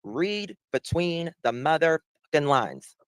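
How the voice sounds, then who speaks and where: A man's voice: fairly high pitch, big pitch swings, takes it slowly, smooth, no room sound. A man giving casual livestream commentary on audio he plays, with a blunt manner.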